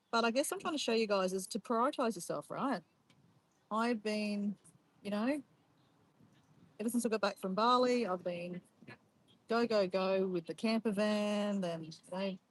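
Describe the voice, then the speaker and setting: An Australian woman with an Australian accent chatting in a casual, rambling, informal way as she hosts a livestream. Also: medium pitch. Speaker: livestream host. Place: outdoors in nature near water. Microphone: phone or camera on a tripod. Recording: noisy outdoor livestream, clear background noise.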